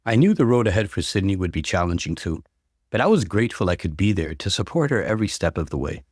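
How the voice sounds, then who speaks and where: A man hosting a story channel, delivering scripted narration and conversational commentary with expressive emphasis. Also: low voice, fast, dry recording, studio quality. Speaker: host reading and commenting on online stories.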